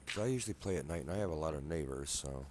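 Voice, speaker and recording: A man quietly rambling through casual gameplay commentary in his apartment at night, a bit wheezy from a cold, soft-spoken and self-deprecating. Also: very deep voice, expressive, rapid-fire, slight background noise. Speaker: gameplay video maker. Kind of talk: casual let's play commentary.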